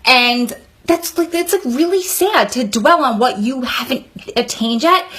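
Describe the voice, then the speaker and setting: Higher-voiced woman vlogger, candid and encouraging. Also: a bit bright. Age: 39, nearly 40. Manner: earnest, encouraging, conversational. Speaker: vlogger advising prison wives. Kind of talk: candid advice vlog, talking to camera.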